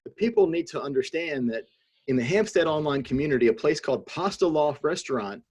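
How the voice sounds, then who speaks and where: A man's voice: a little high, animated, fairly quick, a little mellow, breathy edge. A man co-hosting a podcast in casual video-call chat, wry and teasing with frequent laughs. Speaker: podcast co-host.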